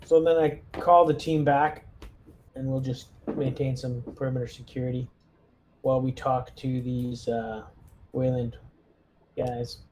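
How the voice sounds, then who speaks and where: A man's voice: mid-range voice, level tone, a little slow, darkish tone, slight background noise. A man playing a tabletop roleplaying game, talking casually as he plans out what his character does.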